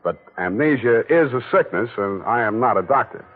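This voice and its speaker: An actor playing a wisecracking, laconic private detective, in 1940s radio acting. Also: male voice, mid-range voice, expressive, moderate pace, natural voice. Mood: dry. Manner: dry, laconic, teasing. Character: wisecracking hard-boiled male private detective. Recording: tinny old radio broadcast.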